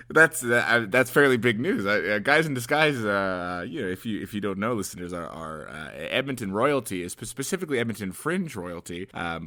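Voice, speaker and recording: Canadian guy hosting a chatty podcast, low voice that swings around a lot, jokey and halting. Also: fairly bright tone. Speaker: podcast host. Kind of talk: casual chat.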